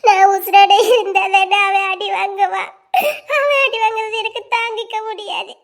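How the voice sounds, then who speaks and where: Teenage village girl, cartoon melodrama: tiny, extremely high voice, southern Tamil Nadu accent, distraught, plaintive, emotional. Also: steady tone, moderate pace. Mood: despairing, tearful. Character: distraught seventeen-year-old South Indian village girl, dramatic.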